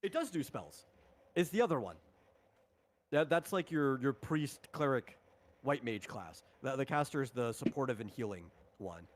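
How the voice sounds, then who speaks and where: A man with a mid-pitched voice hosts a casual tabletop game session. He explains the rules conversationally, halting and rewording his points as he goes. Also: sing-song.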